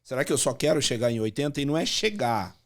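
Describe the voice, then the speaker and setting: A man's voice: mid-range voice, fast talker. A man in his fifties from Brazil, a personal trainer and pastor, chatting casually as a podcast guest and peppering his talk with slang. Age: 50s.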